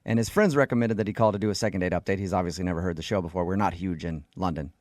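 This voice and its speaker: A man's voice: sits low, very fast, darkish tone, no echo, studio quality. An American man hosting a radio show with casual, conversational banter. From United States.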